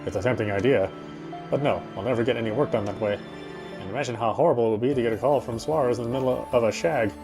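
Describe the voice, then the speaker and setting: Man voicing a fast, wry British narrator. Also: mid-range voice, music in the background, natural voice. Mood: puzzled, wry. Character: wry, self-justifying British husband's inner monologue. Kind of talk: first-person visual novel narration.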